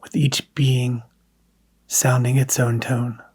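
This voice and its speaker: A man guiding a scripted meditation in a soft, hushed, breathy manner, leaving long pauses between phrases. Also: medium pitch, flat delivery, faint music behind. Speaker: meditation guide.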